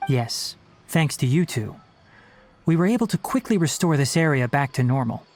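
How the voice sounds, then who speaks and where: A man voicing a young, knowledgeable forest ranger in an anime-style video game, articulate and stern, explaining in a measured way. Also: fairly high pitch, animated, darkish tone, slight background noise, natural voice. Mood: grave, explanatory.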